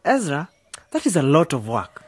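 A lively, swooping voice of a cocky young man in a radio drama. He is excitable and slangy, talking like a flashy DJ who loves his own show. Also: medium pitch, normal speed, breathy edge. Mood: exasperated. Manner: showy, slangy, animated. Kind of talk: radio drama dialogue with hyped DJ patter.

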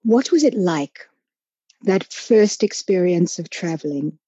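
Mellow woman podcast host. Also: lowish voice, expressive, takes it slowly, breathy edge, no room sound, highs cut off. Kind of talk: conversational interviewing.

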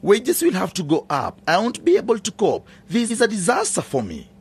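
An actor playing a menacing, scheming farm owner in a radio drama, domineering and theatrical, wheedling one moment and threatening the next. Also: male voice, high voice, huge pitch swings, brisk, slight background noise, full character voice. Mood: alarmed, angry.

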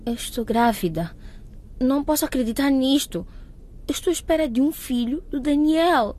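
High-voiced, anxious young woman. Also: lively intonation, normal speed, warm tone. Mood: shocked, distressed. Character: distressed young pregnant woman, anxious and pleading. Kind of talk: radio drama acting.

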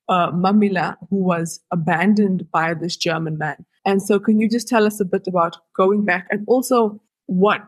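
A woman's voice: mid-range voice, darkish tone, husky, dry recording, rough recording. A woman interviewing an author on a podcast, reflecting at length before she asks her questions. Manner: reflective, builds long explanations before asking a question. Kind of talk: conversational interview.

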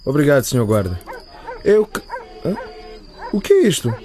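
A man's voice: mid-range voice, very animated, normal speed, a little mellow, slight background noise, lightly acted. A young man playing a polite, hesitant and bewildered student in a radio drama. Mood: polite, puzzled.

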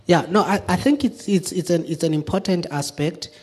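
A man's voice: sits high, moderate pace, darkish tone, husky. A man giving an impromptu answer at a public discussion, hesitant, often restarting and searching for words. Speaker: forum participant.

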